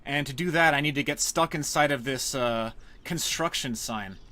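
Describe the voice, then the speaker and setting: A man's voice: medium pitch, normal speed, bright, forceful tone. Joking male speedrunner over noisy game audio. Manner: joking, tongue-in-cheek. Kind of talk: casual live gameplay commentary. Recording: clear background noise from game sounds. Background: game sounds and clicks.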